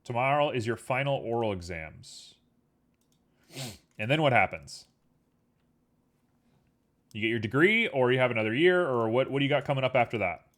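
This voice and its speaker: This is a man of ordinary pitch talking into a desk mic at his computer, keeping up an easygoing chat with his stream viewers. He rambles along with plenty of fillers. His voice is a little sniffly. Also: expressive, moderate pace, pauses often, a bit bright. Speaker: livestreamer. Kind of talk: casual chat while working on a 3D model.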